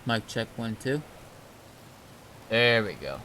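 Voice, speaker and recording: A man chatting casually on a game livestream, telling stories in a laid-back, halting way. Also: fairly low pitch, very animated, sharp tone, slight background noise. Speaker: game streamer. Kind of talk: casual livestream chat, recapping roleplay events.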